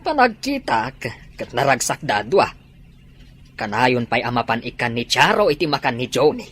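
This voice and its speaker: A woman playing a husky-voiced, meddlesome gossiping parent in an Ilocano comedy drama. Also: rumbling low, sing-song, moderate pace, a bit bright, heavily acted. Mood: gossipy. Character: meddlesome, gossiping parent watching over grown children.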